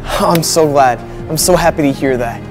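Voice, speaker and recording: An actor playing a goofy, earnest older brother in a comic skit, with an American accent. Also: male voice, on the high side, normal speed, soft background music, natural voice. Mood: glad. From Wisconsin, United States.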